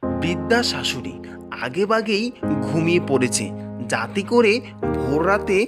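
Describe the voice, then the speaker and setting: A man narrates a cartoon tale from a script. His voice sits a little high. Music and chirping birds are clearly heard behind him. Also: big pitch swings, measured pace.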